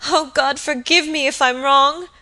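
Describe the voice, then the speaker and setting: A woman's voice: high-pitched, fairly even intonation, unhurried, pushed and bright, pitched above the performer's own voice. A woman voicing a hysterical young servant woman in an audiobook drama, sobbing, gasping and pleading in terror. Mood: anguished, accusing.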